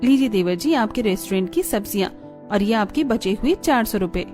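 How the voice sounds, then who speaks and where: A woman's voice: medium pitch, expressive, brisk, a little mellow, faint music behind, close to an everyday voice. A woman playing a thrifty, firm housewife and dutiful daughter-in-law in a cartoon family drama. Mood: polite, practical.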